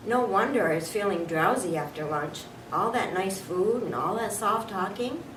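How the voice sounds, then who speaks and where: An excitable, nervous little storybook hamster, read by a woman, chatting in a wide-eyed, confiding way. The recording is echoey and distant, with clear background noise. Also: medium pitch, normal speed, close to an everyday voice. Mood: perky. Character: small excitable classroom pet hamster, male, chatty and nervous.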